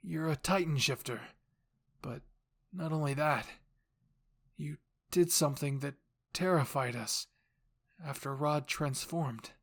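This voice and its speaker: A man's voice: on the high side, slow, creaky, pauses often, fairly bright tone, full character voice. A man playing an earnest, anxious young male soldier in an anime fan story, explaining things seriously. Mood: grave.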